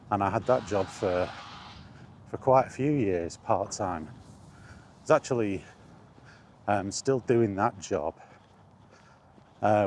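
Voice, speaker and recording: A man's voice: lowish voice, a little mellow, a little hiss or hum. A middle-aged British man casually rambling through personal memories, as in an informal vlog. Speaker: vlogger.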